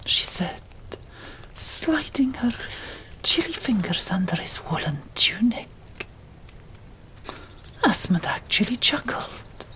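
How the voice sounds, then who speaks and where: A woman narrating an audiobook in a breathy ASMR whisper, hushed and deliberate, pausing between short phrases. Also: on the high side, very animated, poor audio.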